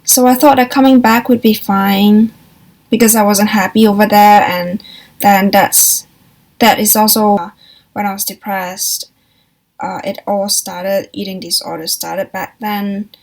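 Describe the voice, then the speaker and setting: Soft, hesitant young woman. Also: mid-range voice, steady tone, gravelly. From Hong Kong. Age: young adult. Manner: soft, hesitant, reflective, with frequent pauses and restarts. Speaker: vlogger. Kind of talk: personal confessional vlog.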